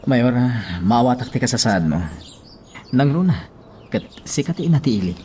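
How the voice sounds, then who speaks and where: A man's voice: medium pitch, big pitch swings, normal speed, a little hiss or hum, close to an everyday voice. A man playing an understanding, counselling priest in a radio drama, with an Ilocano accent. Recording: loud music behind.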